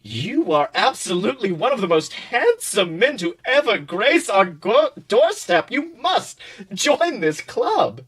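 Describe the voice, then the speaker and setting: Insistent young male club leader, acted by a man, theatrical and gushing, raised pitch, story reading. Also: big pitch swings, measured pace, fairly bright tone, husky, exaggerated voice. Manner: gushing, dramatic.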